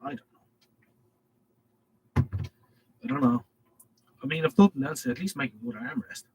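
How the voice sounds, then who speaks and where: A man's voice: medium pitch, expressive, normal speed, darkish tone, slight background noise, low-quality recording. A man with an Irish accent and a head cold, hosting a casual live stream and reading out viewer comments in a rambling way. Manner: rambling, reads chat messages aloud.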